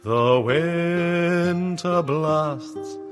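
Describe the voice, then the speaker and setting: Female singer, low register, very slow lullaby-like folk song with instrumental backing. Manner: slow gentle singing. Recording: singing over instrumental music.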